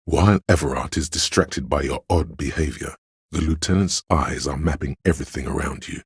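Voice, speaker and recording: An actor voicing a grave, watchful, wry inner voice of police loyalty in a video game, with a gravelly, husky bass and measured, solemn storytelling. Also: male voice, dry recording, clean studio sound, full character voice. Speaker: game narrator. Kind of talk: scripted literary narration.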